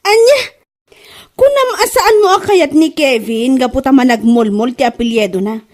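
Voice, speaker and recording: Mocking, incredulous female cousin, woman actor, comedy drama, high pitch, very lively intonation. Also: unhurried. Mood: mocking, amused.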